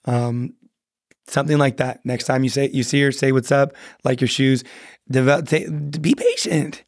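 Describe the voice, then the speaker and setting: A man's voice: medium pitch, expressive, no room sound. Earnest man in a dry studio. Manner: earnest, halting with restarts. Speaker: podcast guest. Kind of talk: casual podcast chat.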